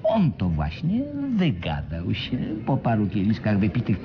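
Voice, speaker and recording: Shrewd, confident swindler; lively intonation, patiently explanatory, radio drama, music behind. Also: male voice, fairly low pitch, measured pace, muffled recording, full character voice. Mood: smug storytelling. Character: shrewd, self-satisfied male schemer explaining his swindle. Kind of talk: Polish radio play acting.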